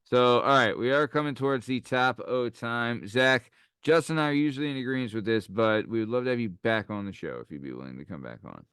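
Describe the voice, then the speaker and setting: A man's voice: medium pitch, brisk, fairly bright tone, clear, resonant tone, no echo. A young man hosting a podcast, bantering casually with crude jokes. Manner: laid-back, joking, crude banter. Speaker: podcast host. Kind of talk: casual chat.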